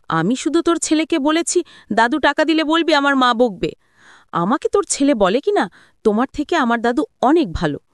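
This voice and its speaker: Woman playing snobbish middle-aged mother, audio story, scolding, domineering, high voice, dry sound. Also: no echo, lightly acted. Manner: scolding, nagging. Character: domineering, resentful middle-aged mother and grandmother, snobbish about village life.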